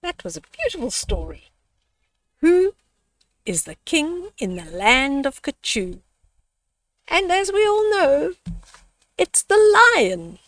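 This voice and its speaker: A woman reading a bedtime story aloud to children, speaking deliberately and adding little asides for young listeners. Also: sits high, very animated, fairly bright tone, far from the mic.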